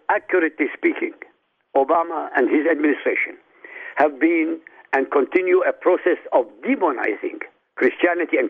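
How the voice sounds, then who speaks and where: A man calls in over a tinny phone line, his raised voice gravelly and husky. He lays out his case in an emphatic, declaiming manner, pushing key words up in pitch. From Iraq. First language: Arabic. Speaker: radio guest commentator. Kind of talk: prepared political commentary.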